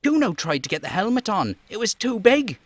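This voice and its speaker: A man voicing an aggressive, sneering young street boy who swears and talks about himself in the third person in a high, strained voice, in a game. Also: big pitch swings, fairly quick, no echo. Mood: aggressive, scornful. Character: foul-mouthed aggressive bratty young street boy, Kuno.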